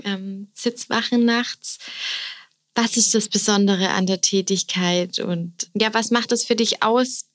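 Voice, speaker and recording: A woman's voice: medium pitch, no echo, studio quality. A woman hosting a podcast interview in a conversational style. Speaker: podcast host, education officer.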